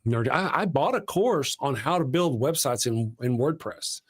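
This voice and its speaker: A man of middle pitch hosts a live stream in a loose, rambling way. He is chatty and quick to make fun of himself. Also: expressive, breathy edge. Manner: chatty, rambling and self-deprecating. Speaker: host of a live show. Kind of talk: casual live-stream chat.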